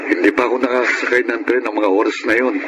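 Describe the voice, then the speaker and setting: Actor as earnest young guy friend in radio drama, medium pitch, noisy background. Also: little pitch movement, rapid-fire, close to an everyday voice. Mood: earnest, consoling. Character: concerned, level-headed young male family friend. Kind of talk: Filipino radio drama acting.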